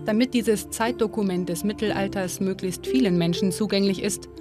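Female documentary narrator, even mid voice, steady scripted delivery, music bed behind. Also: mid-range voice. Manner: measured, clear narration. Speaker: documentary presenter.

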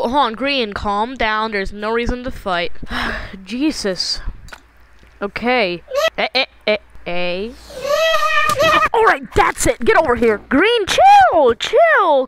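A woman doing an amateur, playful, exclamatory fan dub of animated shorts, with improvised-sounding dialogue. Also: a little high, slow, noisy background. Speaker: amateur voice actor.

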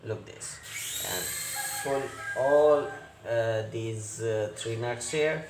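A man who is not a native English speaker, presenting a hands-on repair tutorial in short, halting phrases with many hesitations. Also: medium pitch. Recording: roomy echo with clear background noise.

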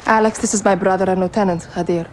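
An actress playing a resolute, guarded rebel commander with a Middle Eastern accent, speaking in firm, terse lines in a video game. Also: female voice, medium pitch, steady tone, quick pace, darkish tone, slight background noise, close to an everyday voice. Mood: stern, guarded. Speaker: guerrilla commander. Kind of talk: cinematic video game acting. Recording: game score behind the voice.

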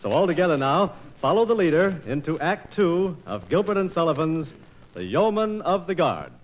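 A man's voice: on the high side, big pitch swings, moderate pace. An American man narrating an operetta for 1940s radio in a playful, theatrical storytelling manner, with scripted rhetorical questions. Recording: noisy 1940s radio transcription disc.

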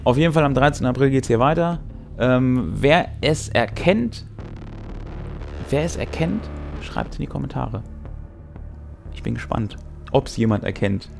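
A man's voice: medium pitch, expressive, unhurried, gravelly, long pauses, darkish tone. Casual wry man hosting, music behind. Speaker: host. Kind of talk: casual talk to camera about a tabletop role-playing game. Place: small brick-walled game room indoors. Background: background music.

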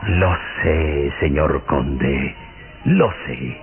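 An actor playing an elderly, impoverished duke and anxious father in a radio drama, solemn and deliberate. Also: rumbling low, soft background music, full character voice. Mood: weary, grateful. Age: older. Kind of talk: old radio drama acting. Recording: dull lo-fi old radio recording.

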